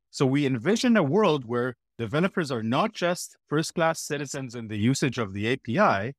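A middle-aged man from Israel, a podcast guest telling a long story in a blunt, animated way. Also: mid-range voice, dry recording, studio quality. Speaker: podcast guest, former platform executive. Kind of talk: interview answer.

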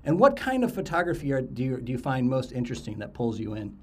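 A man's voice: mid-range voice, sing-song, quick pace, husky. A man hosting a podcast, chatting casually with guests and often repeating words as he restarts. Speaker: podcast host.

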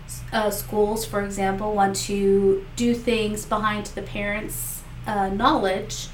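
A woman's voice: medium pitch, little pitch movement, slow. A woman whose first language is Spanish, answering questions as a political candidate, earnest and full of fillers and restarts. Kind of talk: interview answers. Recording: echoey room, mic far off, faint noise.

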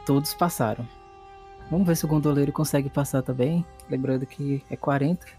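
A man's voice: medium pitch, steady tone, brisk. Man, Brazilian accent, game master, dramatic narrator, casual referee, music underneath.

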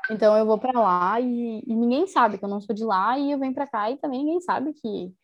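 A woman's voice: on the high side, fairly quick, lo-fi sound, a little hiss or hum. A young woman talking casually as an interviewee, chatty and enthusiastic, with many small fillers. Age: young adult. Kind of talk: casual conversation.

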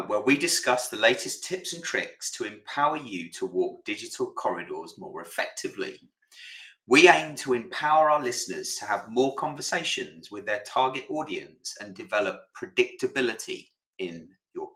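A man co-hosting a podcast in a relaxed, chatty way, with frequent "uh"s. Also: medium pitch, echoey room, poor audio. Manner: relaxed, lots of fillers. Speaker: podcast co-host. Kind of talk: casual podcast chat.

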